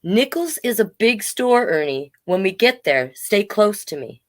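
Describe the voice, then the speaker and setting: A woman's voice: medium pitch, very animated, a little slow, dry recording, clean studio sound, pitched above the performer's own voice. A woman reading aloud the part of a caring, sensible grown woman in a children's storybook, giving careful instructions. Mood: caring, instructive.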